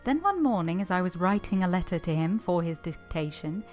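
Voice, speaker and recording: A woman's voice: fairly low pitch, sing-song, fairly quick, soft background music. A woman playing an earnest, tender former governess, acting and narrating her own story in a radio drama. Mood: reflective. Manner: earnest, gentle, heartfelt.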